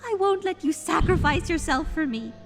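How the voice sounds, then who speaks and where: Refined pony lady acted by a woman, high voice over clear background music, loyal, anguished, dramatic, for an audio fan drama. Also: lively intonation, moderate pace. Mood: anguished, earnest. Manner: earnest, dramatic, formal phrasing.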